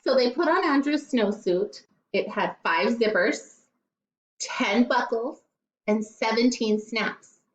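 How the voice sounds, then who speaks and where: A woman teacher reading a storybook aloud to children in an expressive way, with playful asides. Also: on the high side, slow. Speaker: primary school teacher. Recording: echoey, slightly dull room recording.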